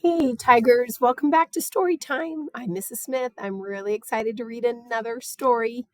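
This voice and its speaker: A woman teacher with a cold, her voice raspy and hoarse, reading a picture book aloud to children. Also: on the high side, faint music behind. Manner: slow, deliberate read-aloud with stressed words.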